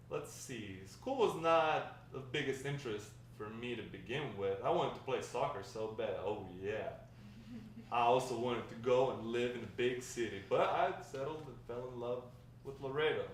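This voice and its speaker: A man playing a nostalgic, talkative Mexican-American grandfather in a table read, warm and encouraging, rambling with hesitant pauses. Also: mid-range voice, natural voice. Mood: reminiscing. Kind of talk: amateur table read of a script. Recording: echoey room, distant mic, faint noise.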